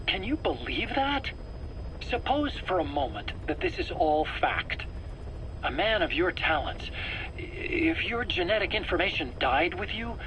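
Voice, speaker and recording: A man's voice: high voice, pushed and bright, heavily acted. An actor playing a nervous, self-justifying male scientist in a video game interrogation, stammering and earnest. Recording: noisy cassette tape interrogation recording.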